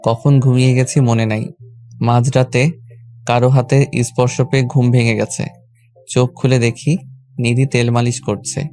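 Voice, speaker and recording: A man with a Bangladeshi accent narrating a scripted first-person romantic story in a plain, even delivery. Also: medium pitch, moderate pace, soft background music. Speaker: narrator and lead character of a romantic audio story.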